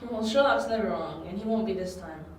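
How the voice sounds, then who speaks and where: A woman's voice: medium pitch, a little fast, a little hiss or hum, natural voice. A woman playing a loyal young male detective's sidekick in an amateur audio drama, earnest in delivery. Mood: worried. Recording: echoey room, amateur home recording.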